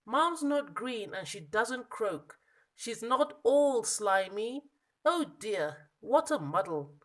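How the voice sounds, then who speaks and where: A woman voicing a small, lost young monkey from a children's storybook, plaintive and exasperated, with emphatic, drawn-out protests. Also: a little high, big pitch swings, exaggerated voice. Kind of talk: children's storybook character reading.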